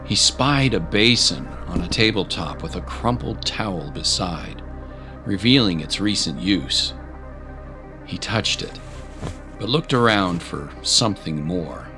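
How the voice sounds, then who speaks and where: A man reading scripted dramatic narration of a fantasy adventure in a measured, deliberate way with pauses for effect. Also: deep voice, animated, over music. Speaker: storyteller, narrator.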